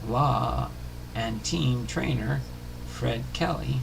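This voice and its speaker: A man hosting a baseball history series, reading biography notes aloud in a halting way with small stumbles and self-corrections. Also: medium pitch. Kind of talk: scripted biography narration, read aloud. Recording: clearly noisy home recording.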